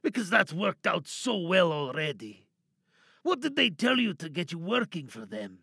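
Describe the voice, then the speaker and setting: Man acting high, gravelly, cynical captive scientist. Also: very animated, moderate pace, bright, forceful tone, dry recording, studio quality, exaggerated voice. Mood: cynical, sardonic. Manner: sardonic, probing, rhetorical questions. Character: captive lab scientist, cynical, wary, bargaining and quietly menacing. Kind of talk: dramatic audio drama monologue.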